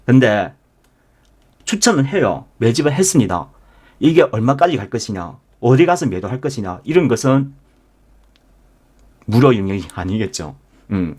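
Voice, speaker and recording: Low-voiced man, emphatic stock instructor. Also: darkish tone. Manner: emphatic, asks listeners rhetorical questions; addresses listeners directly and repeatedly. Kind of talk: live market lecture with membership pitch.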